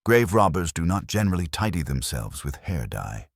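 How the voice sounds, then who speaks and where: Radio mystery inspector male narrator's rendition: very low voice, polite, unhurried, dry scepticism, close dry sound. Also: big pitch swings, gravelly, breathy edge, dry recording, heavily acted. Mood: courteous, probing. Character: mild, courteous, dryly sceptical male police inspector.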